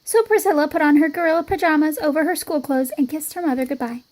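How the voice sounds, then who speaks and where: A woman reading a children's picture book aloud in an expressive storytelling style. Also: high-pitched, fast. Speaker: storybook reader.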